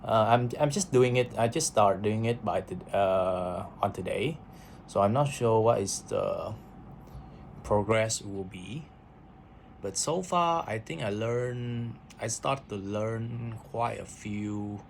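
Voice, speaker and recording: Brief: lowish-voiced man, halting vlog chat, faint hiss behind. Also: gravelly. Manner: hesitant, repeats words, many fillers. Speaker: vlogger. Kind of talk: casual spoken diary.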